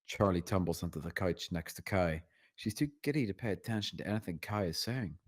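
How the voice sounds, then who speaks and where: A man's voice: low-pitched, fairly quick. A man narrating scene directions from a screenplay at a table read. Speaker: narrator reading stage directions.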